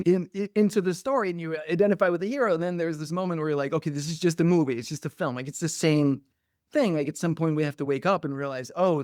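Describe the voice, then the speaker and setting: A middle-aged man, speaking on a podcast in a lively, reflective way and sprinkling his talk with "like". His voice sits a little high for a man. The recording is close and dry. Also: no echo. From Denmark. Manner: animated, reflective, uses many "like" fillers. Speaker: podcast guest, coach. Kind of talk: conversational interview.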